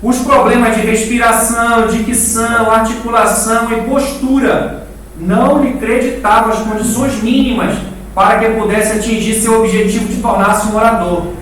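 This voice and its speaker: A man's voice: very high-pitched, little pitch movement, moderate pace, a bit bright. A Brazilian man giving an informal lecture to a church preaching class, conversational and didactic, repeating words and putting questions to his listeners. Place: echoing indoor room, live class. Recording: very echoey room, distant mic, faint noise.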